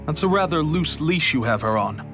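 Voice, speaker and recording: An actor playing a wary rebel leader in a game, speaking in a dry, measured way with soft music underneath. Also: male voice, on the high side, lively intonation. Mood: guarded. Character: wary male rebel leader, middle-aged. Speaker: resistance leader. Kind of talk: video game voice acting.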